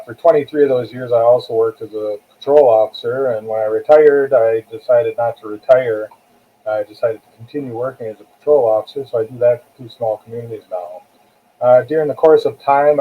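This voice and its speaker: Phone-like audio, older American man. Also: medium pitch. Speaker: guest author, retired corrections captain. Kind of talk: conversational interview answers. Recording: tinny, phone-like narrow-band call audio.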